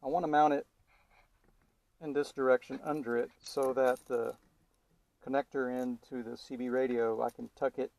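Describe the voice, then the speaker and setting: A man with a mid-range voice talks through a hands-on installation as a DIY vlogger. His delivery is informal and halting, as if thinking aloud while he works. The style is that of a casual how-to video. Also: mid-range voice, little pitch movement, creaky, a little mellow, low-quality recording.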